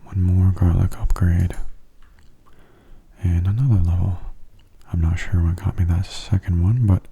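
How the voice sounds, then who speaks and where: Very soft, husky low-pitched man. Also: little pitch movement. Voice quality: soft, breathy, often near a whisper. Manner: gentle, unhurried, explanatory. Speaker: video game commentator. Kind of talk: soft-spoken ASMR gameplay commentary.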